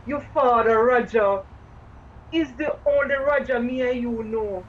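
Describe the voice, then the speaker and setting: Woman acting a high-pitched bossy Jamaican grandmother. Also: unhurried, lo-fi sound, slight background noise, lightly acted. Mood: insistent. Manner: commanding. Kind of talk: radio drama acting.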